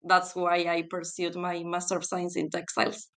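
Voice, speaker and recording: Smooth-voiced woman. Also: on the low side, completely flat delivery, fairly bright tone. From Argentina. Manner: thoughtful, with fillers and pauses. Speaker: guest, textile specialist. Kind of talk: podcast interview.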